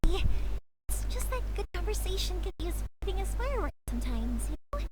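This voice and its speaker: Chatty cheerful anime game girl, super high bouncy voice, loud-ish music under it. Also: a little fast. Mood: enthusiastic. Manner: talkative, animated, rambling. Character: chatty, cheerful young woman, fireworks maker. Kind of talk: anime fantasy game voice acting.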